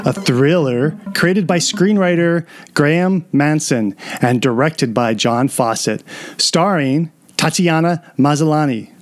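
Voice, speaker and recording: A man's voice: on the high side, takes it slowly, soft background music. A man hosting an interview, moving between a scripted introduction and questions for his guest. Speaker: interviewer and host.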